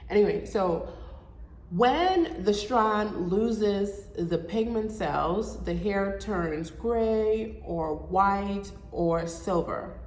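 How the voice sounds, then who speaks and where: A woman's voice: mid-range voice, drawn-out words, darkish tone, soft background music. A young adult woman hosting her own vlog, sounding nasal from a cold, chatting casually as she explains hair care step by step. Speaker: show host. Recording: echoey room with long reverb.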